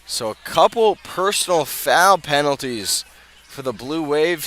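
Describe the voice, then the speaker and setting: A man calling live American football play-by-play for radio, moving between measured narration and raised, excited calls. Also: medium pitch. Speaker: play-by-play sports commentator. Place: outdoors at a high school football field. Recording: noisy outdoor stadium, live broadcast.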